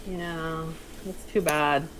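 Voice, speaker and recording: A woman with a slightly low voice hosts a live stream in a casual, chatty style. There is some background noise behind her. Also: steady tone, takes it slowly, fairly bright tone, poor audio. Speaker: host of a live script table-read stream. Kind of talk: casual group chat and feedback.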